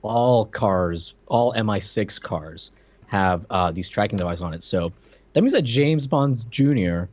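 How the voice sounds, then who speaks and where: A man's voice: lowish voice, expressive, a little slow, a little mellow, no echo. A man co-hosting a podcast, chatting casually and picking at details in an incredulous way. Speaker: podcast co-host. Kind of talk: casual chat, commentary. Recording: dry, slightly dull sound.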